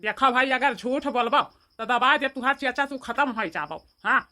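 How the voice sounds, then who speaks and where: A woman's voice: high voice, normal speed, sharp tone. A woman voicing an angry, threatening village man in a cartoon, with an Awadhi accent and a hectoring, repetitive delivery. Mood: menacing. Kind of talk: amateur cartoon voice acting.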